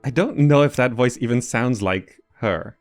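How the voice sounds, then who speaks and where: A man's voice: mid-range voice, moderate pace, soft background music. A man hosting a gaming video with casual, hesitant commentary, thinking aloud as he plays. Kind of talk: casual game playthrough commentary.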